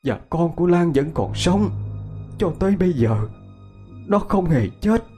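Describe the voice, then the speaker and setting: A man's voice: sits high, very animated, measured pace, dark tone, faint music behind, voice pushed up. A man voicing an older wife who pleads earnestly and insistently in a dramatic story. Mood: earnest pleading.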